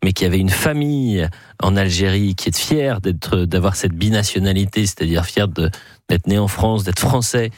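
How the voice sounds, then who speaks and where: A man's voice: low voice, moderate pace, a little mellow, dry recording, clean studio sound. A man hosting a radio talk show, speaking earnestly in long, winding sentences. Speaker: radio host. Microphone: studio microphone.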